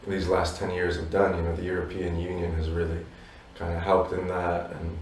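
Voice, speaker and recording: Brief: man, 30s, deep and even, reflective musician guest, roomy and off-mic. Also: normal speed, slight background noise. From abroad, Polish parents. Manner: reflective, many "you know" fillers. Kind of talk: relaxed interview answers. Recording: roomy echo from a distance.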